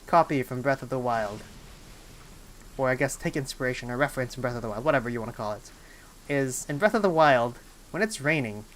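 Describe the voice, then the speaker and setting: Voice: medium pitch, fairly bright tone, slight background noise, poor audio. A game streamer giving casual live commentary, rambling and often breaking off mid-thought while thinking aloud. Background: game music.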